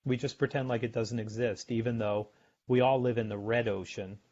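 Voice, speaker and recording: A middle-aged American man, a sales author and podcast guest, explaining his ideas in a measured way and often repeating words as he thinks. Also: medium pitch, steady tone, a little mellow. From United States. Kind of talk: podcast interview. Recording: dull lo-fi remote audio.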